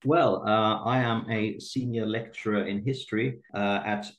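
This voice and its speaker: A man's voice: fairly low pitch, fairly even intonation, measured pace, reverberant. A man from Sweden, a native Swedish speaker, answering interview questions in a thoughtful way with many fillers and restarts. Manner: thoughtful, hesitant with fillers and repeated words. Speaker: guest historian.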